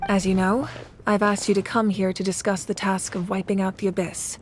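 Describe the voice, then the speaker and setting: A woman's voice: medium pitch, little pitch movement, brisk, lightly acted. An actress playing a determined, composed female war leader, explaining things earnestly and with assurance in a video game. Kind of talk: dramatic video game acting. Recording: music playing behind the voice.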